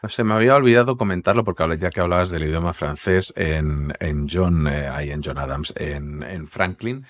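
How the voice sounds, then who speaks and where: Lowish voiced guy chatting about tv shows, amused, dry sound on a call. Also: fairly quick, few pauses, no room sound. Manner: conversational, amused, restarts his sentences. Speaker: TV series critic co-host. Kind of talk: casual review chat. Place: home room, remote call. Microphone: headset and desk mic over video call.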